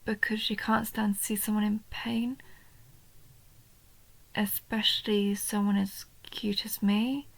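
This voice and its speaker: A woman voicing a shy, sweet, nerdy goblin girl in a soft roleplay audio, hesitant and gentle, often dropping into breathy half-whispers. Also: medium pitch, little pitch movement, takes it slowly, long pauses, dry recording, low-quality recording. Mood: flustered, touched. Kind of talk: roleplay audio, soft intimate story acting.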